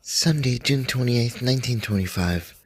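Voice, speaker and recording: A man playing a 1920s scholar who reads his expedition journal aloud in a measured, dryly wry way. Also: medium pitch, no room sound, natural voice. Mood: thoughtful. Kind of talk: first-person journal reading, radio drama.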